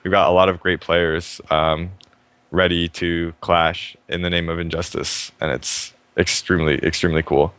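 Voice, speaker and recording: A young man hosting a live stream show, talking fast and upbeat about a fighting game and often restarting his sentences. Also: low-pitched, level tone, fairly bright tone, clear, resonant tone, no room sound. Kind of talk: casual game commentary.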